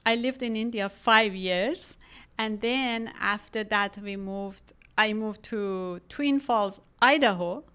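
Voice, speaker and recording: A woman's voice: medium pitch, slow pace, pushed and bright, clean tone. A woman from Iran with an Iranian accent, speaking conversationally as an interview guest about her work. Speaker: podcast guest, real estate agent.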